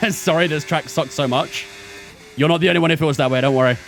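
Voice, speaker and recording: A man's voice: on the high side, a little fast, a bit bright, a little hiss or hum. A man in his twenties streaming a racing game, giving chatty running commentary and talking to his chat. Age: 20s. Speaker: gaming streamer. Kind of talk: casual live-stream commentary while racing.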